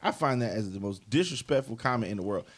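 A man's voice: medium pitch, quick pace. A man from Georgia, arguing emphatically in a casual group talk. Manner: animated, emphatic, does mocking impressions.

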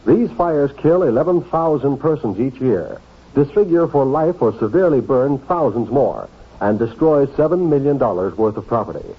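Lively-pitched, husky vintage radio announcer man. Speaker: public service announcer. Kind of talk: scripted 1950s radio safety announcement. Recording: tinny, phone-like old radio recording.

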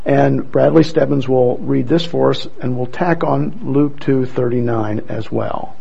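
A man introducing scripture readers at a church program, speaking in a relaxed way with light asides, on a muffled recording. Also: medium pitch, a little slow, vocal fry, breathy edge. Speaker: worship leader introducing readers. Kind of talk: church service emcee.

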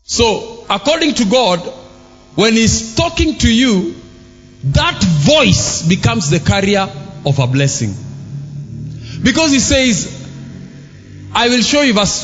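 A man preaching a sermon, emphatic, rising to shouts and dropping low for asides. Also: very animated, slow, pauses often. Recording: live music under a long hall reverb.

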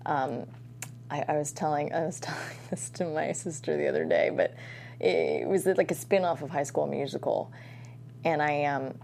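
Deep, gravelly-voiced woman chatting. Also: normal speed, darkish tone, slight background noise. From Southern California. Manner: chatty, many restarts and self-mocking laughs. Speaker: actress guest. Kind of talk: casual interview chat.

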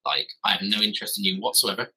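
A man's voice: on the low side, fast, bright, forceful tone, breathy edge. A man in his thirties, a podcast guest telling stories casually and correcting himself as he goes, speaking from his garden office. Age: 30s. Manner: rambling, self-correcting, wry. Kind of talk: casual storytelling.

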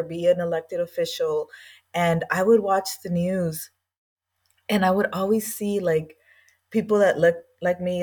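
A woman with a somewhat low voice sits as a podcast guest and talks through her own story. She speaks in a casual, earnest and reflective way, with loose and chatty phrasing. She grew up in East Los Angeles. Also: warm tone. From East Los Angeles, USA. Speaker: guest, language school founder.

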